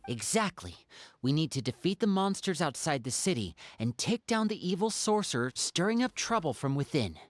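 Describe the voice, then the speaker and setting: Composed female hunter: low, dry close sound, measured and formal game delivery. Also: sing-song, dry recording. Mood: resolute. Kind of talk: polished game voice acting.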